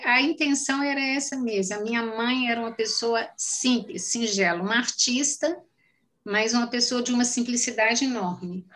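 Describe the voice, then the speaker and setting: A woman with a strong Minas Gerais Brazilian Portuguese accent and a bright, fairly high voice, chatting as a podcast guest. She tells her stories in an animated, enthusiastic way. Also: moderate pace. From Carmo do Paranaíba, Minas Gerais, Brazil. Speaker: guest, cookbook author. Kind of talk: casual interview chat.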